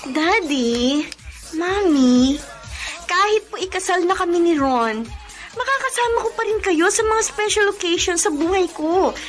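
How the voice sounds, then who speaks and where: An actress in a radio drama playing a gentle, polite young adopted daughter who is about to marry. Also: female voice, very high-pitched, animated, measured pace, faint music behind. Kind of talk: classic radio drama acting.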